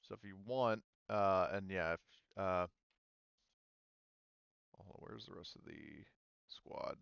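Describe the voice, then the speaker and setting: A man running a tabletop roleplaying game as game master, narrating off the cuff in a halting, casual, sweary way. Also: low-pitched, gravelly, clean tone, no room sound, highs cut off.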